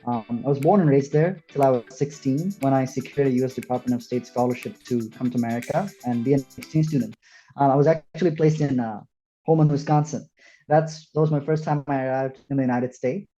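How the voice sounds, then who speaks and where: A man's voice: medium pitch, fairly quick, dark tone, faint music behind, low-quality recording. A young man from Bangladesh, a student guest introducing himself earnestly, with frequent fillers. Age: young adult. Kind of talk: self-introduction in an interview.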